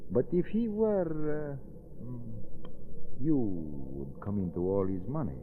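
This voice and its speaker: Radio villain: coldly calculating doctor, ominous formality, expressive pitch, phone-thin 1930s sound. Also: male voice, slow. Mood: sinister, insinuating. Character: scheming, menacing foreign doctor, coldly calculating. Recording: noisy, tinny 1930s radio broadcast recording.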